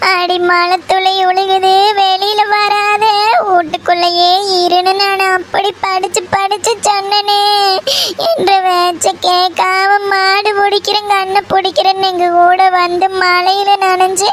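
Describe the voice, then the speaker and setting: A very high-pitched, distraught village mother played by a woman wails through her lines in a Kongu Tamil accent. She draws out her tearful laments in melodramatic style, with faint noise behind her. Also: level tone, a little slow. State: crying. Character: distraught, wailing mother lamenting a family tragedy. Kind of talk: melodramatic village drama acting.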